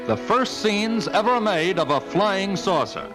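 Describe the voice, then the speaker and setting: A man's voice: sits high, expressive, measured pace. An American man narrating a 1950s newsreel in an emphatic, portentous way, on an old film soundtrack. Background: newsreel music.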